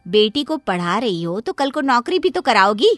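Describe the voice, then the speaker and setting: A woman's voice: on the high side, very animated, moderate pace, fairly bright tone, faint music behind, pitched below the performer's own voice. A woman voicing a nosy, frank middle-aged neighbour who gives emphatic, preachy advice in a Hindi cartoon. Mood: advising. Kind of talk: Hindi cartoon moral story acting.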